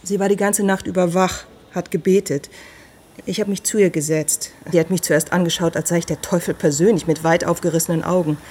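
An actress in a radio drama playing a brisk, frank hospital doctor who talks in a direct, matter-of-fact way. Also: female voice, fairly low pitch, steady tone, warm tone, breathy edge. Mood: matter-of-fact, concerned.

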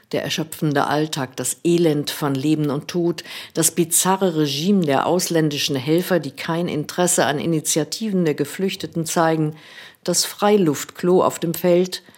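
Low-voiced woman reading a book review. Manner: calm, measured reading. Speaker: radio book critic. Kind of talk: scripted radio book review.

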